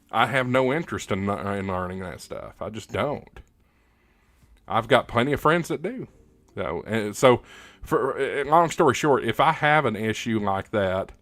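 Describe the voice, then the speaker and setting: A man hosting a casual hobby livestream, answering comments in a halting, plain-spoken, opinionated way, often repeating words as he goes. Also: lowish voice, a bit bright, no echo. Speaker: livestream host, ham radio hobbyist.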